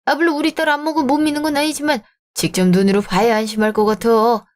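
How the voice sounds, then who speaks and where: A woman's voice: fairly high pitch, flat delivery, fast talker, close to an everyday voice. A woman voicing a fond, doting father in a radio drama. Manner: warm, fond. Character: doting widowed father, fond older man.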